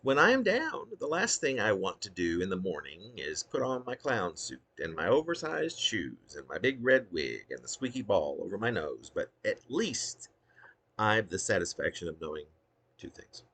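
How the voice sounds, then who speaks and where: A man's voice: lowish voice, huge pitch swings, moderate pace, a bit bright, slightly rough. A man giving a dramatic, wry reading of a humorous autobiographical poem, with theatrical emphasis. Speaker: poet reading own work.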